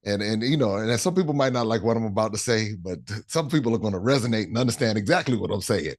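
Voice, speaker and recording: Low-voiced earnest American man. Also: expressive, fast talker, dry recording, clean studio sound. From United States. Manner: earnest, emphatic, repeats phrases for stress. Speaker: guest, travel tour organizer. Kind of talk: conversational interview.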